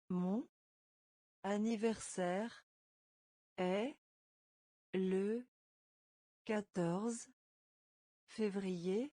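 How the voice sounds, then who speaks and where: Woman language teacher, very slow and deliberate, breaks phrases into words then says them whole; dry recording. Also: mid-range voice, dark tone, no echo. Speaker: French teacher. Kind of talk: slow phrase-by-phrase pronunciation drill.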